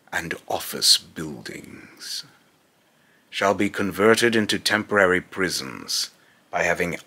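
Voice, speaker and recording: Man voicing a gravelly, menacing god of fear. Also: extremely low pitch, pushed and bright, heavily acted. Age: young adult. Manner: slow, pompous, solemn, repeats phrases in retakes. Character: menacing god of fear and loss, a vengeful male tyrant. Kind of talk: scripted villain monologue, voice-acting takes. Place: small indoor room at home.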